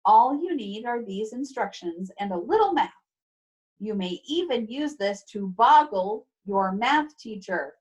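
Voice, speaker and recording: A woman teacher reading a children's book aloud over a video call, expressive and instructive, with playful emphasis and casual asides to pupils. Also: medium pitch, measured pace, slightly rough, lo-fi sound. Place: remote video class.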